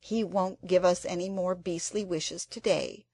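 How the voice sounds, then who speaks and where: A woman voicing a blunt, gloomy young girl in a storybook, speaking flatly. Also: lowish voice, measured pace, no echo. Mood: glum. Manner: flat and glum. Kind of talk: storybook character voice.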